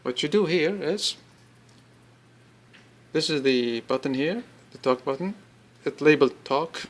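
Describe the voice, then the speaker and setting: A man presenting an informal product demonstration, explaining step by step in halting phrases and repeating himself. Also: on the high side, expressive. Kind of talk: informal spoken product demo.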